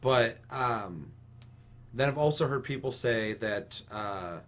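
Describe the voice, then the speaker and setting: A man's voice: mid-range voice, lively intonation, slow, a bit bright. A young American man hosting a casual podcast discussion, giving opinions emphatically with lots of casual swearing. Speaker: podcast host.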